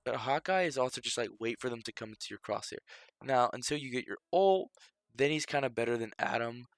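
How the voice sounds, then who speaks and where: Young male streamer, mid pitch, dry close mic, a bit stuffy from a cold, loose filler-heavy ramble. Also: brisk, dry recording. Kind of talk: casual rambling stream commentary.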